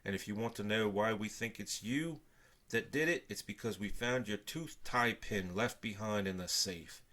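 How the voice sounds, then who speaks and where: A man's voice: fairly low pitch, moderate pace, pushed and bright. Man acting storybook police sergeant, stern, official, accusing, firmly projected.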